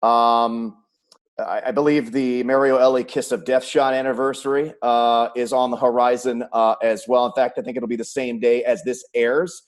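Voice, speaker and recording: A man's voice: mid-range voice, flat delivery, moderate pace. A man hosting a sports chat, asking questions in a conversational interviewing style. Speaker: web series host and sports announcer.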